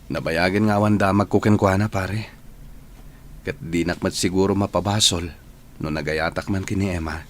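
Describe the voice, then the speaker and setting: A man's voice: low-pitched, steady tone, normal speed, slight background noise, natural voice. A man playing a sincere, patient young village suitor in an Ilocano radio drama. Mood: reflective.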